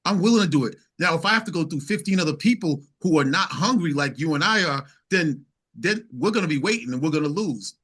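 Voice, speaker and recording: A man's voice: fairly high pitch, lively intonation, fast, fairly bright tone, slightly rough. A man speaking casually as an interview guest and singer-songwriter, emphatic, repeating phrases and quoting conversations as he tells anecdotes.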